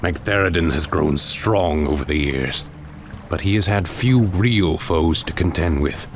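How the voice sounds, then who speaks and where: An actor playing a proud, menacing demon lord in a fantasy game, speaking in a grandiose, commanding way. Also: male voice, low voice, unhurried, a little hiss or hum. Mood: cool, measured. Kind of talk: dramatic fantasy game cutscene acting. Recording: dramatic music under the voice.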